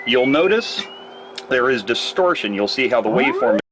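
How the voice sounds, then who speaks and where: A man's voice: mid-range voice, animated, normal speed, a bit bright, slight background noise. A man who repairs radios, casually explaining his test setup step by step in a workshop. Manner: rambling, explains gear step by step. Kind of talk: casual technical demonstration. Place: repair shop workbench with test gear.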